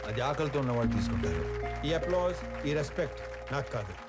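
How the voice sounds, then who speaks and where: An actor playing an earnest, demanding company boss, formal and firm, in a film drama. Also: male voice, medium pitch, big pitch swings, fast, over loud music, close to an everyday voice. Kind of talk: film drama dialogue and speech. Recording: loud film score over dialogue.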